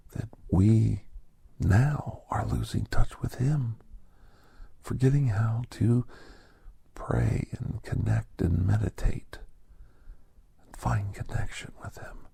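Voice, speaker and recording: A man voicing a gentle, reflective doctor who tells an ASMR holiday story in a breathy, hushed whisper with deliberate pauses. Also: lowish voice, no echo, exaggerated voice. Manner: hushed, deliberate, with long pauses between short phrases. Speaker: narrator of an ASMR story podcast.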